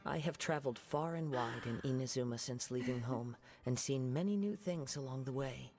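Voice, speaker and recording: A serene young male wanderer with a poet's measured delivery, played by a male game actor. His mid-pitched voice has a gravelly creak. Soft music plays behind his contemplative lines. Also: natural voice. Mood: serene, reflective. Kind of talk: scripted game dialogue.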